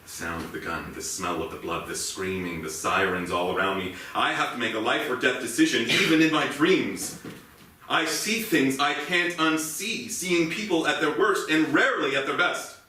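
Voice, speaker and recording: An actor playing a haunted, guilt-ridden policeman and family man in a brooding, halting, intense stage monologue. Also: medium pitch, pushed and bright, lightly acted. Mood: anguished, agitated. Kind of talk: dramatic theatrical monologue. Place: indoor theatre stage. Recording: echoey theatre, distant mic, faint noise.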